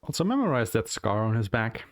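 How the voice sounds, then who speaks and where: A man's voice: mid-range voice, very animated, brisk, over a noisy crowd. A man giving casual, rambling game commentary as a streamer, with a tentative, wry way of talking and background noise. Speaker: speedrunner and streamer.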